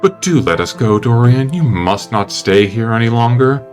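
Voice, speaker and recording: A man voicing a worldly, cynical aristocratic gentleman in an audiobook, languid and witty, with music behind. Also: medium pitch, lively intonation, normal speed, natural voice. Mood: languid, amused. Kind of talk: dramatic audiobook character reading. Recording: music under the voice.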